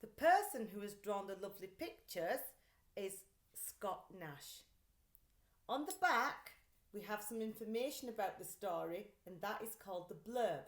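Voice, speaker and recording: A woman reading a children's story aloud like a teacher, in an expressive, deliberate, carefully phrased way. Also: medium pitch. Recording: echoey, roomy sound.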